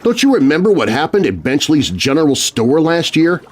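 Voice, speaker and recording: A man's voice: fairly high pitch, sing-song, normal speed, pitched above the performer's own voice. A man voicing a stern, earnest eldest teenage brother who warns ominously in a children's spooky story. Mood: insistent. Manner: stern, hauntingly warning.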